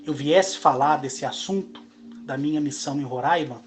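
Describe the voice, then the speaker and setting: A Brazilian man in his forties, speaking in a slow, emphatic monologue broken into short phrases. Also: a little high. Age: 40s. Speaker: channel host. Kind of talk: monologue to camera.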